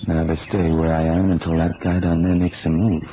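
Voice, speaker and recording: An actor playing a restless, streetwise investigator in a radio drama, talking in a colloquial, muttering way and keen for action. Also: male voice, low-pitched, flat delivery, brisk, lightly acted. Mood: watchful, wry. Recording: muffled, noisy old radio recording.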